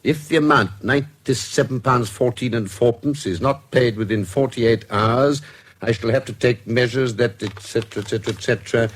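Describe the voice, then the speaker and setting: An actor playing a learned, wry doctor-detective in a radio drama, genially pedantic and donnish, with a British accent. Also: male voice, medium pitch, moderate pace, natural voice. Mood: reading drily. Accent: British English. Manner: donnish, precise, dryly humorous. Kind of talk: BBC radio drama acting.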